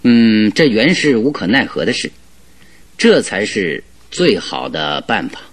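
An actor playing a firm, authoritative gentleman detective giving advice in a Chinese radio drama. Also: fairly low pitch, very animated, heavily acted. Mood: firm, admonishing. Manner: firm, advising, measured.

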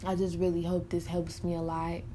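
Young woman in her early twenties, lowish mellow voice, frank and profane, rambles with fillers, confessional monologue. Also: completely flat delivery, brisk, a little hiss or hum. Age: early 20s. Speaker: podcaster. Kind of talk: candid personal monologue.

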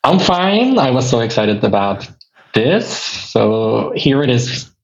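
A man's voice: medium pitch, sing-song, takes it slowly, creaky, highs cut off. A man in his twenties from Turkey with a Turkish accent, chatting casually as an interview guest. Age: 20s. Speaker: podcast guest, photographer and tour guide.

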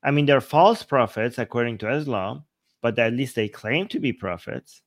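A man hosting a casual panel discussion, sarcastic, mocking arguments with quick imitated quotes. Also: mid-range voice, animated, no room sound, clean studio sound. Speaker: host.

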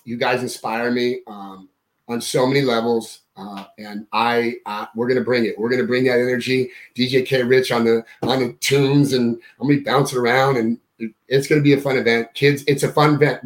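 Male podcast guest with upbeat hype and rallying talk, at a mid-range pitch. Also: poor audio. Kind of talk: casual podcast chat.

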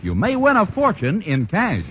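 Lively old-time radio announcer. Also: male voice, moderate pace. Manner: emphatic sales pitch. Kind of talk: 1940s radio ad read. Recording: lo-fi old radio broadcast with faint hiss.

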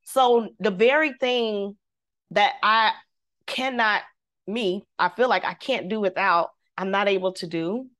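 A woman, a singer, telling a personal story in casual conversation, lively and devout, acting out the voices she quotes. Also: medium pitch, a little slow. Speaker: guest.

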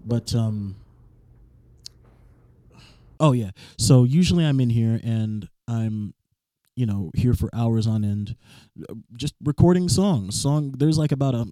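A man with a rough, raspy voice, talking casually about music as a guest in a studio room. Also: medium pitch, a little slow, no echo. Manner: relaxed, rambling casual chat with restarts. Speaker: blues and soul musician, podcast guest. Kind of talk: casual chat.

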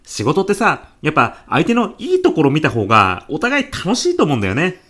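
A woman acts as a middle-aged male department manager in a radio comedy. The voice is low and husky, swinging freely up and down. He comes across as breezy, chummy and quick to forgive. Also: huge pitch swings, a bit bright, exaggerated voice. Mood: placating. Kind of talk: comedic radio drama dialogue.

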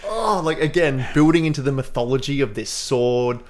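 A man's voice: mid-range voice, lively intonation, normal speed, a crowd in the background. A man co-hosting a film podcast, talking casually and often chuckling as he acts out bits of dialogue.

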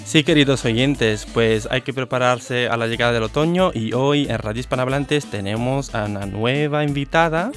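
A man's voice: medium pitch, a little fast, few pauses, faint music behind. A man co-hosting a bilingual radio morning show in an easygoing, joking manner. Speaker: radio co-host. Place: radio studio.

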